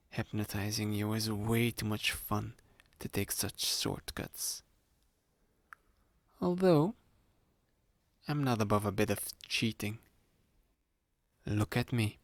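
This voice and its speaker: A man playing a sly, playful young male student hypnotist in a conversational ASMR roleplay, speaking to the listener in a gravelly, teasing voice. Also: lowish voice, no room sound, exaggerated voice. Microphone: close studio-style microphone, dry.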